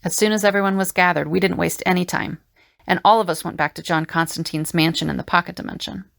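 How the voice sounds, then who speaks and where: Composed heroine played by a woman, slightly low voice with vocal fry, measured audio drama narration. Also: fairly even intonation, fairly bright tone, no room sound, clean studio sound. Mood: wry.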